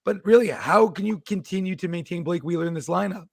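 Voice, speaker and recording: A man co-hosting a sports talk show who has lost his voice and is hoarse, rambling as he builds up his questions. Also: sits high, fast talker, no room sound, studio quality. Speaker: co-host.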